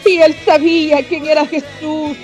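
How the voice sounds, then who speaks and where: A woman's voice: sits high, level tone, measured pace, a little mellow. A woman preaching a fervent sermon, often breaking in with "mi amado hermano" and cries of praise. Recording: dull lo-fi sound with faint music behind.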